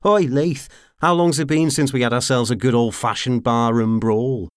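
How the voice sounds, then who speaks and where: A man's voice: medium pitch, steady tone, normal speed, clean tone, no echo, studio quality, pitched above the performer's own voice, lightly acted. A man voicing a scrawny, cheeky mercenary brawler in an audiobook, brash, leering and teasing. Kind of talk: audiobook character acting.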